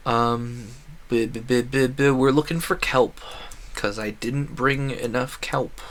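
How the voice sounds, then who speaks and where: Male game streamer, medium pitch, loose off-the-cuff chatter, thinks out loud as he plays. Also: fairly even intonation, takes it slowly. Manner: rambling, thinking aloud. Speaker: livestreamer. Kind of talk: casual gaming stream chat.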